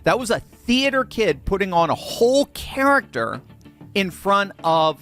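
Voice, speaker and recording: A man's voice: high voice, very animated, measured pace, fairly bright tone, soft background music. A man hosting political commentary, sarcastic and mocking, chuckling between remarks. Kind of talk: commentary on clips.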